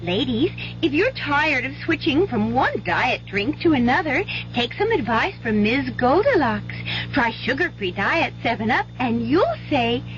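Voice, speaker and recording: Goldilocks type: cutesy, cheerful, unhurried, precise radio ad patter, dull vintage broadcast sound. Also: female voice, high voice, very animated, a little hiss or hum. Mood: perky, pleased. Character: Goldilocks, prim cheerful young woman taste-tester in a fairy-tale ad. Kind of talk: 1970s radio commercial acting.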